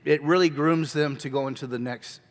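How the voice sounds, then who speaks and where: A man teaching a children's ministry workshop at a conference, talking energetically and conversationally on a handheld mic. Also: fairly high pitch. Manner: energetic, conversational, quick asides. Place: large church hall. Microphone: handheld microphone. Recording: long hall reverb.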